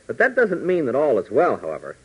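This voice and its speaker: A man's voice: mid-range voice, huge pitch swings, fast, slightly rough. A man narrating and announcing a 1940s radio serial with dramatic, theatrical delivery. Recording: noisy, dull vintage 1940s radio recording.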